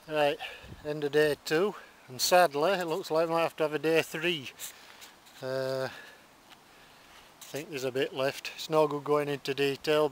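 A man in his forties with a Yorkshire accent, commenting drily on his bottle dig as he films it outdoors in the countryside. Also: fairly high pitch. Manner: dry, wry understatement. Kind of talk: casual commentary while digging. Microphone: handheld or worn camera close to face. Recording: outdoor camera mic with clear background noise.

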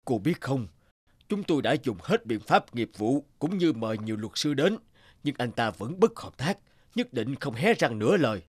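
A husky, stern prison lieutenant. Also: male voice, mid-range voice, big pitch swings, normal speed, no room sound. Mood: formal. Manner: formal, official. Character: burly male prison lieutenant, stern but polite. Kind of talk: dubbed cartoon dialogue.

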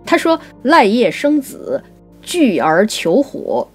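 A woman co-hosting a conversational talk on classical poetry, explaining things and reciting verse slowly. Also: a little high, wildly swooping pitch, husky, faint music behind. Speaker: writer and co-host.

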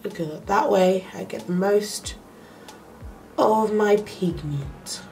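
A woman's voice: mid-range voice, gravelly, mellow, soft background music. A young woman with a cold, sounding nasal, chatting step by step through a makeup tutorial in her bedroom, close to the camera. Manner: slow, chatty step-by-step talk with fillers. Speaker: makeup vlogger. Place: small bedroom indoors. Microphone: camera close up to the face.

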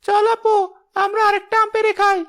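A woman's voice: extremely high pitch, monotone, a little fast, fairly bright tone. A woman voicing a stubborn, wheedling little boy who nags his big sister in a children's cartoon. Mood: pleased. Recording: music behind the voice.

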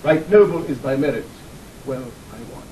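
A man's voice: medium pitch, lively intonation, moderate pace, dark tone, close to an everyday voice. An actor with a British accent playing a stern, authoritative king in a classical Shakespearean audio drama. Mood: regal, measured. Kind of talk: classical Shakespearean radio acting. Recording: echoey, distant, faintly noisy 1960 recording.

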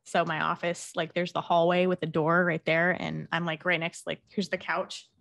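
A woman's voice: fairly low pitch, little pitch movement, normal speed, creaky, a bit bright. A young woman hosting a casual interview from her home office, with friendly backchannels and light laughs. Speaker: host. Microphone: desk microphone.

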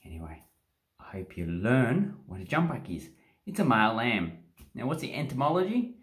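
A man's voice: medium pitch, big pitch swings, normal speed, fairly bright tone. A man in his forties with an Australian accent, cheekily explaining slang into a phone held close in a small bathroom. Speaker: slang vlogger. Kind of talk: casual explainer vlog. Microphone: phone camera held close.

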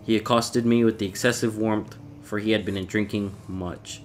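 A man giving a measured, formal, brooding dramatic reading of a classic horror story as its narrator. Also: on the low side, fairly even intonation. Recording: clear background noise, ambience.

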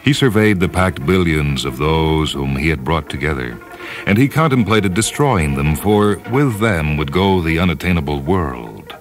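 A man with an extremely low American voice narrates a story in a measured, solemn manner. He sounds like the host of a radio fiction program, with music playing beneath his words. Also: sing-song. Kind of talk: scripted dramatic narration. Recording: music under the voice.

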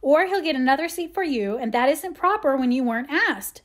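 Teenage older sister voiced by a woman, fairly high pitch, bossy, impatient, cross, brusque scolding, storybook character. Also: sing-song, normal speed.

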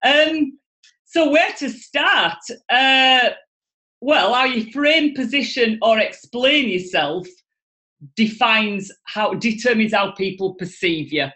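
A middle-aged woman with a Yorkshire accent, speaking as a guest in an enthusiastic, expressive way over a video call from home. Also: a little high, takes it slowly. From Yorkshire, England. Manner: enthusiastic, expressive, gestures a lot. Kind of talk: animated motivational talk. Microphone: video call webcam.

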